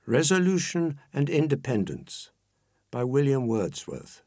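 Mellow-voiced man reciting poetry. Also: medium pitch, very animated, measured pace, no echo, clean studio sound. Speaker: poetry reader. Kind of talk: formal recitation of a poem.

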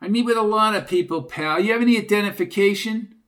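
Short-tempered, suspicious male crook acted by a man reading a story, raised pitch, snappy, slangy delivery. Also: quick pace, a bit bright. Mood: defiant. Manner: blunt, snappy, slangy tough talk.

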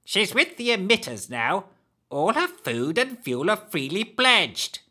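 A man's voice: sits high, sing-song, unhurried, pushed and bright, voice pushed up, exaggerated voice. A man voicing a scruffy, greedy older woman who hisses her words, in a storybook reading. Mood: brisk. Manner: hissing, conspiratorial.

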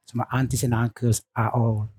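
Mellow, husky man. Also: medium pitch, flat delivery, brisk, dry recording. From Indonesia. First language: Lamaholot. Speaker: teacher, interview guest. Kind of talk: relaxed radio interview. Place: studio.

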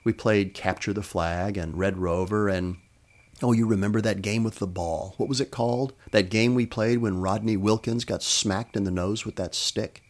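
Man reading as feisty old woman, storybook character, chatty, emphatic, lowish voice. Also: fairly even intonation, normal speed, natural voice. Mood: fond, reminiscing. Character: feisty, brisk elderly woman friend reminiscing fondly.

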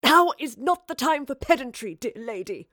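A woman's voice: high voice, fairly even intonation, unhurried, fairly bright tone, dry recording, studio quality, voice pushed up. A woman voicing a flustered, pompous male playwright, strained and spluttering as if held by the throat, in an audio drama. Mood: gasping protest. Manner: spluttering, florid.

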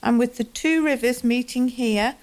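A woman's voice: on the high side, normal speed, darkish tone, natural voice. A woman acting in a radio play as a thoughtful townswoman observing her home. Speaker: community theatre actor.

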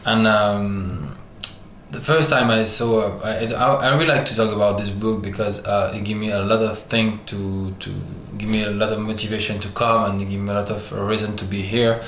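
A man from Algeria who grew up in France, a French speaker talking as an artist guest in an interview, pausing often and searching for English words. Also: on the low side, fairly even intonation, a bit bright. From Algeria, raised in France. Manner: many pauses and restarts, searching for English words. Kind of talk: halting interview answers. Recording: echoey room with faint noise.

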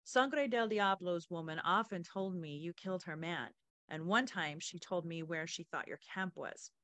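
A woman hosting a podcast, reading story passages and asking questions with expressive, informal asides. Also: on the low side, normal speed, no echo. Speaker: podcast host. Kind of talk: reading book excerpts and interviewing the author.

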